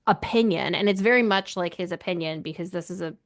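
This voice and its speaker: A woman's voice: on the low side, fast. A woman co-hosting a book podcast in casual chat, speaking in an informal, opinionated and emphatic way.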